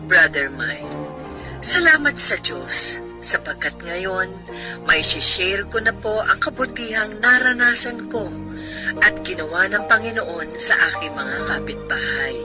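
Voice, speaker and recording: A woman's voice: lowish voice, animated, a little slow, music in the background. An actress playing a grateful, devout woman narrating her testimony letter in a radio drama.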